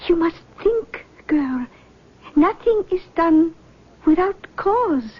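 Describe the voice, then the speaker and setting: A solemn woman medium speaks very slowly in a high voice, pausing theatrically between phrases. She is mysterious and commanding yet soothing, in a muffled vintage radio drama recording. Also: expressive, full character voice. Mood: solemn, probing. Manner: slow, halting, theatrical phrasing. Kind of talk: 1970s radio drama acting.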